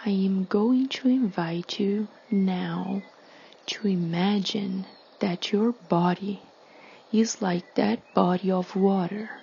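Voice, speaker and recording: Woman, meditation guide, extremely slow with long pauses, soft mellow tone, hushed and soothing, slightly muffled recording. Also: medium pitch, gravelly, slight background noise.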